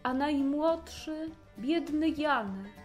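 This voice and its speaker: A woman with a fairly high voice narrates a rhymed fairy tale. She is expressive and takes her time, with music softly behind her. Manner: expressive storytelling, measured.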